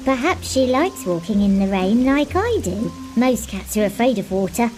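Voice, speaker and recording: Woman voicing a lively, curious storybook cat. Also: a little high, moderate pace, faint music behind, rough recording. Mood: curious, kindly. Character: friendly talking palace cat, curious and kindly. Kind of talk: children's interactive storybook voice acting.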